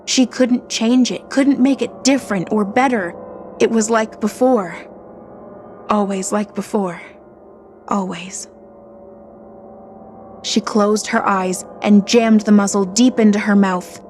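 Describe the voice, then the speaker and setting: Woman, horror narrator, unhurried, suspenseful, dramatic, faint music behind. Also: mid-range voice, fairly even intonation, a little mellow. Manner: slow, dramatic, suspenseful delivery. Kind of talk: scripted horror story narration.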